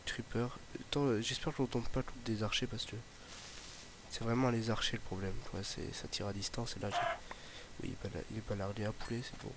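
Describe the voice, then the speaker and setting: A man's voice: lowish voice, steady tone, a little fast. A young man with a slight Ardèche accent, chatting casually over his gameplay and rambling with many fillers and restarts. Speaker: gaming YouTuber. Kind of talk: casual Let's Play commentary. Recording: noisy home mic recording.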